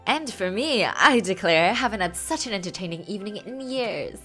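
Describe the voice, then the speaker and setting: A woman's voice: medium pitch, animated, brisk, sharp tone. An older aristocratic godmother, acted by a woman in game dialogue. She is kindly and meddling, with grand, genteel phrasing. Music plays behind her. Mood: playful. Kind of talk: reading game dialogue aloud.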